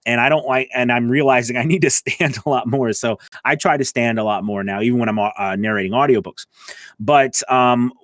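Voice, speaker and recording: Man, voice-over coach, conversational and explanatory, informal tutorial delivery, mid-pitched, very dry room sound. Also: a bit bright, no room sound. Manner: conversational, explanatory, often checking in with okay and right. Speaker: voice-over coach and working voice actor. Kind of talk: informal instructional talk to camera. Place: editing station indoors.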